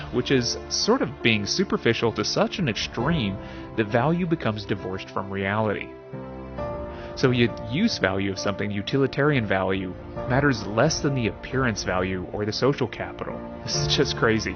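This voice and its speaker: Animated man hosting a podcast over music. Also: medium pitch, lo-fi sound. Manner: conversational, wry asides and rhetorical tags. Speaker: podcast host. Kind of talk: scripted philosophy podcast talk with casual asides.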